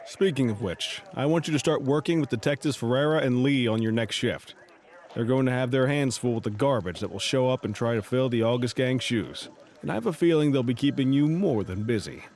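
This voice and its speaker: A male actor plays a commanding police captain in a gritty game drama. He is stern but fatherly, with a measured delivery and a world-weary edge. His mid-pitched voice carries steady authority. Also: natural voice. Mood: businesslike. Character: stern, paternal male police captain, middle-aged or older. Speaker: police chief addressing officers. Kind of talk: dramatic video game cutscene acting.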